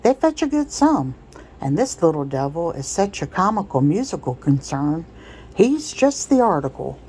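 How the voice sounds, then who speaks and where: A coarse slave trader played by a woman reading a storybook aloud, with a mellow tone. He blusters and wheedles in folksy rustic dialect, overly familiar and always pushing. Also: fairly low pitch, very animated, moderate pace, a little hiss or hum, low-quality recording. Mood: smooth persuasion. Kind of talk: storybook read-aloud character.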